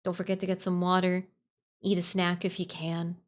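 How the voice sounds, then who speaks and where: A woman's voice: lowish voice, monotone, normal speed. A woman chatting casually on a livestream, answering viewers in a gushing way and repeating phrases for emphasis. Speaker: livestream host.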